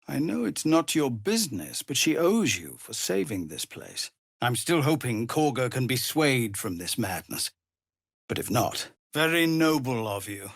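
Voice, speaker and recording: A male actor playing a weary, honourable leader of embattled refugees in a video game, grave, measured and formal, with a husky voice that turns gravelly under strain. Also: medium pitch, sing-song, no room sound, clean studio sound, full character voice. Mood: grave, earnest. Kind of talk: dramatic game dialogue.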